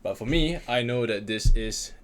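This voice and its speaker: A man's voice: mid-range voice, expressive, moderate pace, a little hiss or hum. A man co-hosting a casual talk show, bantering and explaining in wry, wordy, slightly formal phrases.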